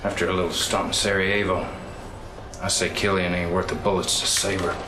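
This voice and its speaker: A man plays a loyal, cocky military officer in a game cutscene. His low voice is informal and wry, full of slang, with clear vehicle noise in the background. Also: flat delivery, brisk, lightly acted. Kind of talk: casual game cutscene acting.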